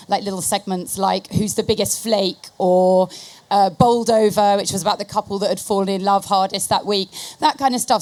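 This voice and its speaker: Woman explaining on a business panel. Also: medium pitch. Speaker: marketing executive panellist. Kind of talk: conversational panel answers.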